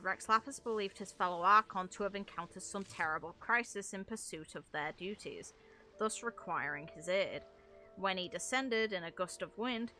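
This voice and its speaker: A woman's voice: mid-range voice, bright, forceful tone. A woman streaming a video game, talking casually to chat and reading out lore. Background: faint game music.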